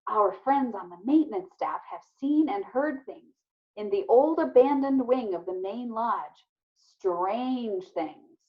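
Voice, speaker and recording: A woman's voice: a little high, lively intonation, takes it slowly, pitched above the performer's own voice. A woman voicing a teasing, sarcastic girl with a theatrical manner in a children's storybook read-aloud. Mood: spooky, dramatic. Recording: muffled, lo-fi recording.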